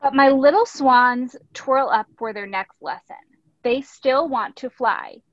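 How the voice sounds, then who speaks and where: A woman's voice: high-pitched, unhurried, fairly bright tone. A woman reading a picture book aloud as a teacher, expressively, with instructive asides. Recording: echoey room, dull lo-fi audio.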